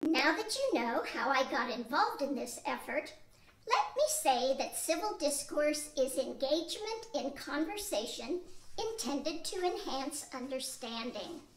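An older woman playing Marge, an earnest, determined wife, in an amateur radio play, reading slowly and earnestly. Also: sits high, big pitch swings. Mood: lecturing. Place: small studio with a draped backdrop. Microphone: stand mic. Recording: echoey room.

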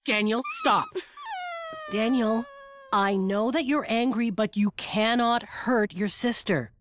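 A woman playing a caring, firm grown-up in a children's cartoon, calmly guiding small children with simple phrases. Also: fairly high pitch, slow pace, noisy background.

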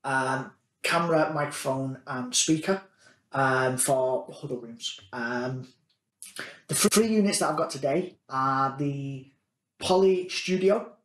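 A man's voice: medium pitch, slow pace, a bit bright. A man, casually demonstrating gear in a meeting room and filling his talk with "um" and "uh". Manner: chatty, filler-heavy, thinking aloud. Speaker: product demonstrator. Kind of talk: casual product demo. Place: meeting room with large table. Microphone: conference room device mics, distance varies. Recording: echoey meeting room, distant mic.

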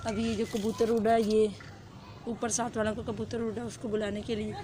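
A young woman with a hoarse throat, chatting casually to her viewers in a vlog and mixing in English words, recorded on a phone at arm's length outdoors. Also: a little high, level tone, moderate pace, heavy background noise. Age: young adult. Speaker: vlogger. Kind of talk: casual vlog chat to camera. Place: outdoors in a rural courtyard with goats. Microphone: phone held at arm's length.

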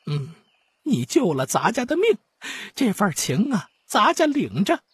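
A man's voice: sits high, wildly swooping pitch, measured pace, a bit bright, breathy edge, no room sound, studio quality, voice pushed up, exaggerated voice. A man voicing a wily, chummy court eunuch with a grand, folksy manner in an audiobook. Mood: pleased.

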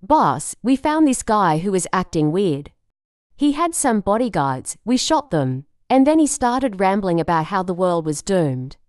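Woman acting brisk survivor soldier giving a report, very lively pitch, dry close sound. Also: no room sound. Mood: matter-of-fact report. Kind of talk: amateur audio drama dialogue.

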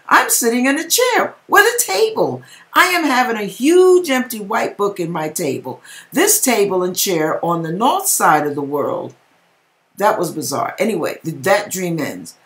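A woman's voice: medium pitch, fairly bright tone. A middle-aged American woman giving a theatrical, emphatic dramatic reading with casual asides, recorded on a webcam in a home kitchen. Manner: theatrical, emphatic, drawn-out delivery. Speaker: host reading viewers' dream accounts. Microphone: webcam at desk distance.

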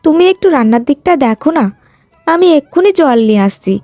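A patient young village sister-in-law, played by a woman in a cartoon story. Her high voice is polite and coaxing, recorded dry with music behind. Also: animated, fairly quick, dark tone, dry recording. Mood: patient, pleading. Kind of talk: cartoon moral-tale voice acting.

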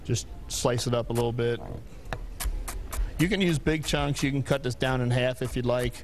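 A middle-aged man, a diner chef, showing a recipe on a TV cooking segment in a plain, relaxed way with a few "uh" pauses. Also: mid-range voice, soft background music. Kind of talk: cooking demonstration. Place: TV studio kitchen set.

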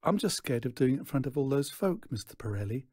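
A man's voice: medium pitch, big pitch swings, fast talker, dark tone, dry recording, studio quality, voice pushed up. A man voicing a nervous, earnest young boy in a storybook, hesitant and confessing.

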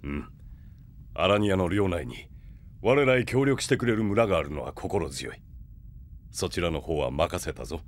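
A man's voice: low voice, expressive, moderate pace, long pauses. An actor playing a grave, authoritative warrior king, a mature man, in an anime audio drama. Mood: grave, brooding.

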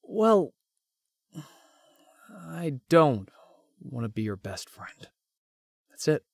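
An actor voicing a devoted, earnest young man in an anime-style romance game, speaking haltingly. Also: mid-range voice, very animated, vocal fry, darkish tone, dry recording, studio quality, heavily acted. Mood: earnest, confessing. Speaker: voice actor in a visual novel.

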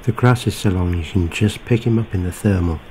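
Casting: man with a lowish voice, plainspoken field narrator, faint outdoor hiss behind. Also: brisk, a little mellow. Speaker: pest controller narrating hunt footage. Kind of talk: calm low-key voice-over narration.

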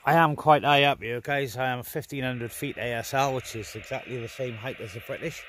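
A man's voice: medium pitch, fairly even intonation, a little fast, fairly bright tone. Man vlogging casually, noisy outdoor recording. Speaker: amateur CB radio hobbyist filming a vlog. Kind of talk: casual hands-on vlog commentary. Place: outdoors in a garden.

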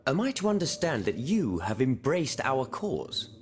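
A man's voice: medium pitch, big pitch swings, a little slow, soft background music. A man voicing an eloquent, proud revolutionary lawyer in a video game, with formal rhetorical delivery. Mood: wary, skeptical. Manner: formal, rhetorical, sprinkled with French phrases. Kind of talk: video game cutscene acting.

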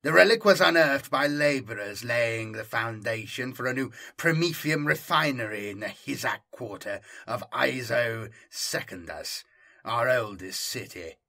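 A man acts out an imperious planetary governor for a science fiction audiobook. He is proud and guarded, with stately, formal speech. His tone is bright and forceful. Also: mid-range voice, animated, unhurried, gravelly, full character voice. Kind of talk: audiobook character dialogue.

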